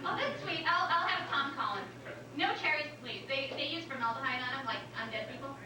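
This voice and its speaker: High-voiced, flustered young woman acted at a reading. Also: expressive, fairly quick. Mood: excited, nervous. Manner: flustered, stammering, rambling. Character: nervous, gushing young female first-time stand-up comic. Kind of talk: staged reading before an audience. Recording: roomy, distant, noisy live recording.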